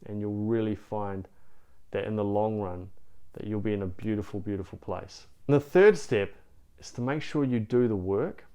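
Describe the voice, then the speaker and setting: A man's voice: fairly low pitch, moderate pace, mellow, clear, resonant tone. A man with a New Zealand accent, talking straight to camera as a relationship coach in an earnest, encouraging way, often saying "you know". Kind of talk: direct-to-camera coaching talk.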